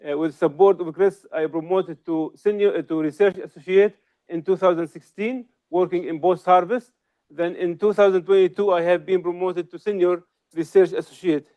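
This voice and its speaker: Middle-aged man, high smooth voice, halting seminar delivery, slightly muffled recording. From Syria. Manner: halting, frequent hesitations and restarts. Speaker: research associate giving a seminar. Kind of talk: academic seminar lecture.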